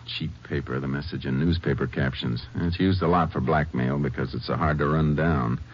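Very deep-voiced, laconic Texas Ranger. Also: male voice, steady tone, slightly rough. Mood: grave, businesslike. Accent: American. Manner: measured, laconic, polite questioning. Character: calm, courteous middle-aged male Texas Ranger investigator. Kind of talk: 1950s western radio drama acting. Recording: muffled, noisy 1950s radio recording.

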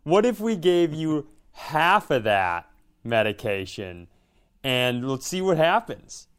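A man's voice: fairly high pitch, big pitch swings, slow pace, clear, resonant tone. An American man talking casually and jokingly as a co-host on a comedy podcast.

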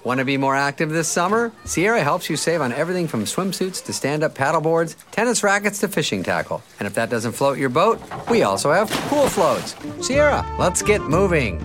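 An upbeat retail ad announcer with very lively, swooping intonation. The read sits over clearly audible music. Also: medium pitch, moderate pace, few pauses. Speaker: advertisement announcer. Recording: music bed under the voice.

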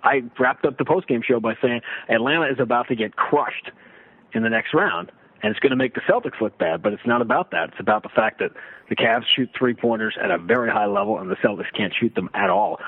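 A man's voice: mid-range voice, big pitch swings, fast, creaky, slightly rough, no room sound. A middle-aged man giving emphatic, conversational basketball analysis as a sports radio guest, often building his points with rhetorical questions. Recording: slightly muffled, limited-band radio-like audio.

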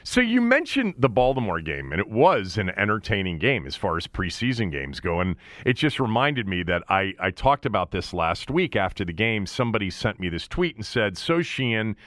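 An American man hosting a casual sports interview, talking in a halting, rambling way that often interrupts itself. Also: on the low side, very animated, a bit bright, no echo, studio quality. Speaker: sports radio and podcast host.

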